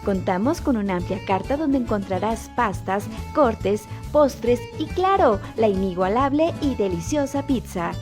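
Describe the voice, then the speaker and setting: A Mexican woman reads a commercial script with very lively intonation. Her voice is on the high side, and music plays clearly behind her. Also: measured pace, hardly any pauses. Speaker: commercial announcer. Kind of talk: scripted advertising voice-over.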